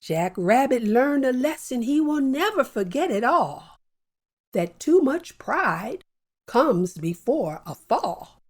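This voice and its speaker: Slow, animated woman telling children's stories. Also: high voice. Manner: warm animated storyteller with slow deliberate pacing. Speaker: storyteller host. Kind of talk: children's storytelling. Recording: soft music bed behind.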